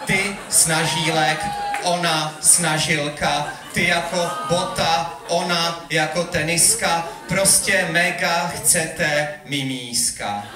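A man's voice: a little high, loud music behind the voice. A young man performing slam poetry live on a club stage, reciting in a rhythmic, theatrical way. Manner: rhythmic, wordplay-driven, theatrical delivery. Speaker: slam poet competing on stage. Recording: live club hall echo, audience noise.

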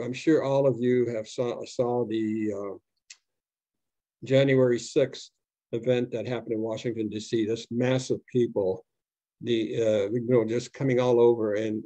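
An older man from Austria telling his story to students in an unscripted, halting way, with many fillers and restarts, on a video call. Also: medium pitch, dark tone, no room sound. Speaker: Holocaust survivor speaking to students. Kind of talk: unscripted testimony talk. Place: home room on a video call. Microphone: video call webcam. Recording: dull, slightly lo-fi video call audio.

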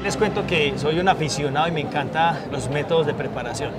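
A Colombian man hosting a show in a folksy, exclamatory way, presenting and chatting in a lively manner. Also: on the high side, a little fast. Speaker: TV show host. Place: busy indoor coffee trade fair booth. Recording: music behind the voice. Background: music and fair noise.

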